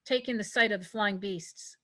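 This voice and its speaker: A woman's voice: mid-range voice, normal speed, pushed and bright. A woman narrating stage directions at a scripted table read, occasionally stumbling over words.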